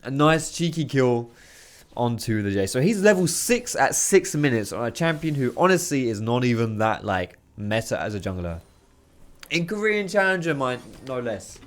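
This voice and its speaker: A man's voice, a little hoarse and worn from talking, narrates game footage in a quick, excitable play-by-play. He sits at a home desk mic, reacting to each big moment with loose, slangy enthusiasm. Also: mid-range voice. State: hoarse, losing his voice. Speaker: video host. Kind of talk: gameplay commentary.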